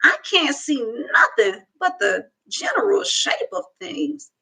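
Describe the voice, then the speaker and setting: Picture a gentle old woman with no teeth, drawling slowly in a raised, bright tone, swooping up and down as she talks, as a woman reading aloud puts her on. Her speech is unhurried and homespun, like a storybook grandmother in her garden. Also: huge pitch swings, vocal fry, full character voice, rough recording. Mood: folksy kind. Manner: slow drawl, high-pitched. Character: old toothless kind woman, gentle and folksy.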